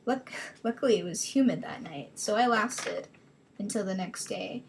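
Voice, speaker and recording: A woman's voice: mid-range voice, lively intonation, normal speed, creaky, a little hiss or hum. A woman giving a dramatic reading of her own childhood story, rambling into self-mocking casual asides.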